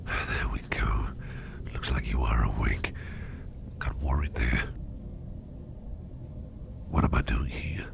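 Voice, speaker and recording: A man's voice: extremely low pitch, takes it slowly, creaky, long pauses, exaggerated voice. A man playing a caring, protective businessman boss in an intimate ASMR roleplay, whispering breathily and soothingly, often repeating his reassurances. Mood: soothing, concerned. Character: caring, protective male boss, gentlemanly businessman, soft-spoken and doting.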